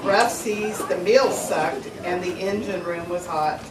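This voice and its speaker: A woman's voice: fairly low pitch, a little slow. A woman reading humorous rhyming verse aloud to a family gathering. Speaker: family member reading a tribute poem. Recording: echoey, distant, very noisy recording.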